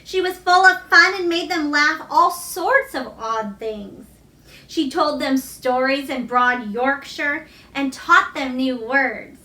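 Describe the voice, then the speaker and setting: High, bright-voiced woman reading a story. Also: slow pace. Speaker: storybook reader, teacher. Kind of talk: read-aloud children's story narration. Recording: roomy echo, distant microphone.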